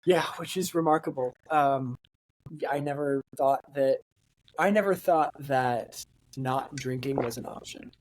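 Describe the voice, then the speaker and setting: A man in his late twenties speaks as a podcast guest, thinking out loud in a halting, reflective way and often restarting his sentences. His voice sits at a middling pitch. The recording is close and dry, with no room sound. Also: no room sound, rough recording. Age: 20s. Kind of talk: candid conversational interview.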